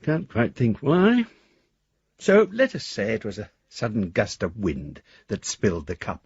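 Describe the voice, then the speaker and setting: Bashful, rambling English gentleman for radio drama; lowish, very husky voice with expressive swings. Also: unhurried, dry recording, muffled recording, heavily acted. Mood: rambling, affectionate. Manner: formal, bashful, gently whimsical. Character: courteous, shy, rambling English gentleman of independent means. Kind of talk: radio drama monologue, reading a letter.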